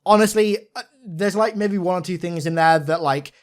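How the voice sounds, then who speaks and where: A man's voice: sits high, moderate pace. A young man giving an informal, enthusiastic video game breakdown with an emphatic, gushing manner. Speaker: video game content creator.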